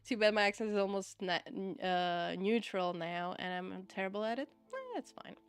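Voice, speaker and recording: A woman's voice: mid-range voice, sing-song, moderate pace, smooth. Chatty Czech-accented woman streamer. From Czech Republic. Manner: chatty, laughs often, repeats "okay?" to stress points. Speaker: VTuber streamer. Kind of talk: casual livestream chat, answering viewer chat.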